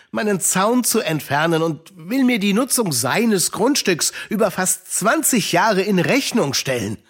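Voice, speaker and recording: A man's voice: high voice, a bit bright, breathy edge, full character voice. A man acting a kindly, devout uncle in a German children's radio play, earnest and shaken. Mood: upset.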